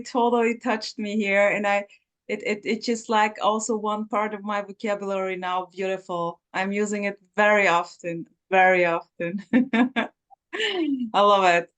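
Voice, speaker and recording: A woman's voice: mid-range voice, fairly bright tone, smooth. A woman in a casual group chat who talks hesitantly and laughs easily.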